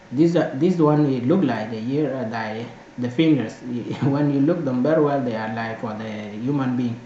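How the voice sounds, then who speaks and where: A man's voice: mid-range voice, darkish tone. A man from South Sudan, a native Dinka speaker with a South Sudanese accent, hosts an informal language lesson, repeating words for learners. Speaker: language teacher and channel host. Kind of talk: informal video lesson addressed to viewers. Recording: echoey room, muffled sound, faint noise.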